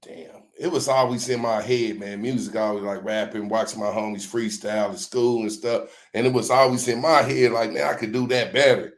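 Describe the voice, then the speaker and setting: A man being interviewed as a music promoter, telling his story in relaxed, slang-filled casual talk. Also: fairly low pitch, normal speed, few pauses. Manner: laid-back slang-heavy storytelling.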